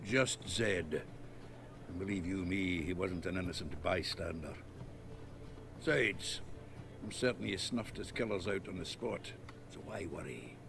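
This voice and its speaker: An actor playing a gruff, blunt male dwarf card dealer with a gravelly voice, in a video game. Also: low voice, unhurried, long pauses. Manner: blunt and coarse. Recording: game audio with background noise.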